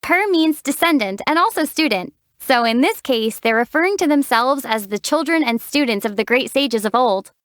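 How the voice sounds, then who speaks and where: A woman voicing a young, level-headed traveler heroine in a video game, answering in a calm, gently wry way. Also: sits high, normal speed, hardly any pauses, no echo. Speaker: game protagonist. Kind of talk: video game character dialogue. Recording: dry, close studio voice.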